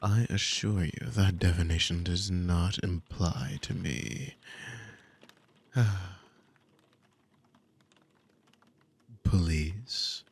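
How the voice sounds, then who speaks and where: A man's voice: sits low, slow, vocal fry, a little hiss or hum, heavily acted. A man playing a dominant, possessive demon in an ASMR roleplay, mockingly amused and condescending, with teasing, formal, theatrical speech. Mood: mocking, menacing. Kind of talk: ASMR audio roleplay spoken to the listener.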